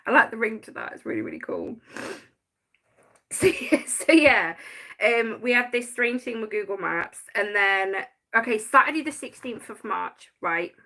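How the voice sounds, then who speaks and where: A woman's voice: fairly high pitch, big pitch swings, moderate pace, sharp tone. A woman, chatting casually on a live stream about her notes and laughing often. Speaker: paranormal channel host.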